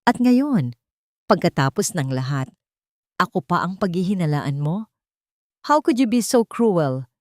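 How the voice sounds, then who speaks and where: A woman's voice: on the low side, sing-song, a little slow, pauses often, no echo, clean studio sound. Claudia, a woman doing a syrupy scheming wife. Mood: aggrieved. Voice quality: sweet, syrupy voice. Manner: wounded, self-pitying pleading mixed with English. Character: sweet-voiced, manipulative rich wife.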